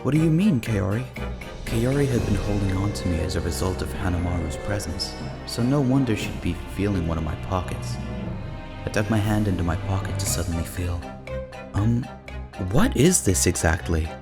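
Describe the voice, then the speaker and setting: A man voicing an earnest, caring, easily exasperated young protagonist in an anime-style audio drama, slightly husky. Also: low voice, animated, moderate pace, over music. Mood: puzzled.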